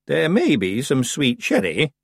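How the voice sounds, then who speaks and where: Guy doing a super old witch lady, high voice, slow, deadpan and dry. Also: no room sound, full character voice. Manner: crisp, dry, matter-of-fact. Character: very old stern calm witch woman.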